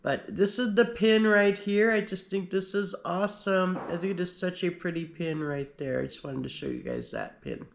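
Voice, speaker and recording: A man's voice: high voice, sing-song, normal speed, vocal fry, few pauses, slight background noise. A man with a slight cold and a slightly nasal voice, talking through his collection in a casual show-and-tell, rambling with many fillers and restarts. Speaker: pin collector.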